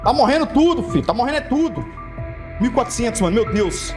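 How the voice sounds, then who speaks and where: A young Brazilian man doing excited live game commentary, repeating himself and swearing in slang. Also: very high voice, fast, over music. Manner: excitable, repetitive, heavily profane slang. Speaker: gaming streamer. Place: indoor room, webcam streaming setup.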